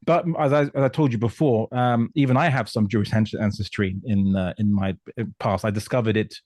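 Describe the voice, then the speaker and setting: Halting, emphatic man explaining his views. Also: on the low side, steady tone, darkish tone, no echo, studio quality. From Portugal. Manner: emphatic, halting, often repeating words. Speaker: guest commentator on religion and politics. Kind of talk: explanatory discussion.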